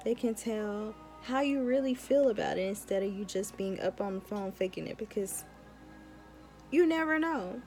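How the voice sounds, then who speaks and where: A woman's voice: mid-range voice, expressive, moderate pace, a little mellow, faint music behind. A young adult woman, a law student, chatting informally and giving advice in a casual vlog with emphatic repetition.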